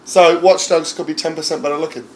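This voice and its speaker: A man co-hosting a casual podcast, with a gravelly, rough-throated voice and an eager, teasing way of asking questions. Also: on the high side, fairly even intonation, fast talker, a little mellow, slight background noise. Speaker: co-host. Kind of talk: casual chat.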